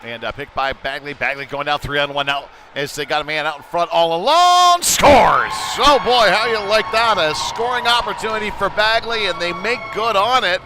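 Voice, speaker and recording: A man's voice: sits high, normal speed, hardly any pauses, background noise. A man doing live play-by-play commentary of a youth hockey game, with conversational, somewhat hesitant phrasing between plays. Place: indoor ice rink.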